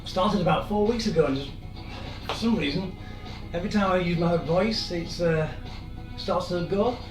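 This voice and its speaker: Hoarse man coaching a home workout. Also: high voice, normal speed, far from the mic. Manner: chatty, encouraging, rambling asides. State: hoarse, losing his voice. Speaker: fitness instructor. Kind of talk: live-streamed workout coaching. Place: room in an old house. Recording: echoey room, off-mic, music behind.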